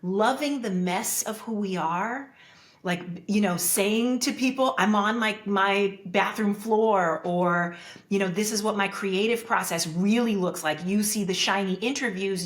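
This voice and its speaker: A woman's voice: medium pitch, a little slow, reverberant. A woman hosting a podcast interview, speaking in an earnest, reflective way and thinking aloud with restarts. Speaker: podcast host. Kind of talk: interview conversation.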